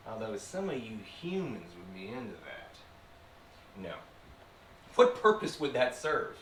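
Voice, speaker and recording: A man's voice: medium pitch, sharp tone. A man playing a sly, taunting demon in a theatrical, sinister monologue spoken to the viewer. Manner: slow deliberate delivery with sinister chuckles and sudden swells. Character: sly, taunting male demon possessing a human, theatrical vlogger. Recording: roomy, distant mic with faint noise.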